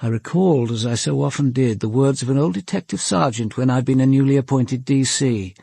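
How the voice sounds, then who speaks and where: An actor playing a composed, observant police commander with an English accent, in measured, formal radio drama narration and questioning. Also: male voice, medium pitch, expressive, vocal fry, dark tone, slightly rough, no echo. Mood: reflective, measured. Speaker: detective narrator.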